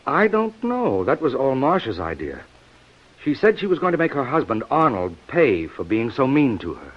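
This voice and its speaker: An American actor playing a defensive, earnestly protesting lover suspected of murder in a 1950s radio detective drama. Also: male voice, medium pitch, big pitch swings, normal speed. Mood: defensive, uneasy. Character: defensive male lover under suspicion of murder. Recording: dull vintage radio recording.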